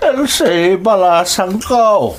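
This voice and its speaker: A woman's voice: medium pitch, slow, slight background noise, close to an everyday voice. A woman playing a concerned neighbor in an Ilocano radio drama, speaking in an urgent, exclamatory way. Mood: alarmed, urgent. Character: agitated, concerned neighbor bringing urgent news.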